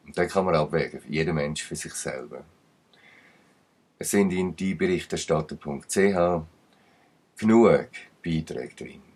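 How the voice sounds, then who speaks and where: A man's voice: a bass voice, darkish tone, husky. A man with a Swiss German accent giving rambling commentary, emphatic and digressive, with uneven bursts. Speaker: channel commentator.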